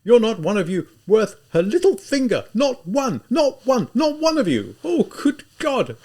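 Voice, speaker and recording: A man reading an audiobook, voicing a desperate consumptive woman who shouts hoarsely through her weeping. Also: extremely high pitch, very animated, a little slow, pitched above the performer's own voice, heavily acted. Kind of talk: audiobook character voice.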